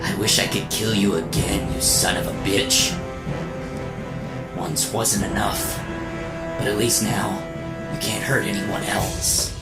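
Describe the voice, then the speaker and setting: A woman's voice: a bass voice, fairly even intonation, slow pace, creaky, long pauses, full character voice. A woman playing an earnest, determined boy robot superhero in a melodramatic radio serial. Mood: cold vengeful anger. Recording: roomy echo, music underneath.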